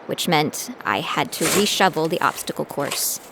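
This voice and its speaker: A woman's voice: deep voice, lively intonation, moderate pace, gravelly, background noise. A woman playing a determined young female trainee who tells her own story in an audio drama, in scripted first person. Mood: reflective narration. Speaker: narrator and lead character of an audio drama. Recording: studio voice over prominent music.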